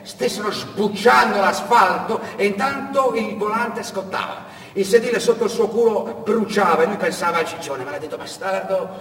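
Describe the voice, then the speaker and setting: A man narrating a story on stage in an intense, vivid theatrical style, swinging between hushed and loud. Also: sits high, normal speed. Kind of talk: dramatic theatrical narration with vocal sound effects. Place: reverberant indoor performance space. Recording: echoey hall from far off, noisy.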